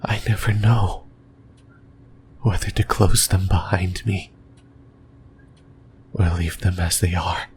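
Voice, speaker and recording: A man voicing a creepy, possessive, lonely sleep paralysis demon in a whispered ASMR roleplay, breathy and halting, close to the mic. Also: low-pitched, steady tone, slight background noise. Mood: uneasy musing. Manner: slow, halting, rambling, intimate musing. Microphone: close microphone.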